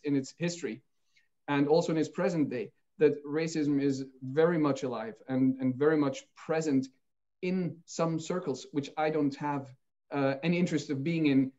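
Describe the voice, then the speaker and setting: Man as an earnest interview guest, restarting sentences, slightly high voice, muffled recording. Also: mellow. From Austria. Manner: earnest, searching for words with many restarts. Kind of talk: reflective interview talk. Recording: dull lo-fi sound.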